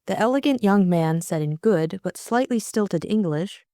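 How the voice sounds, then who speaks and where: A woman's voice: mid-range voice, animated, normal speed, mellow, dry recording, clean studio sound. A woman reading a classic mystery audiobook as scripted dramatic narration.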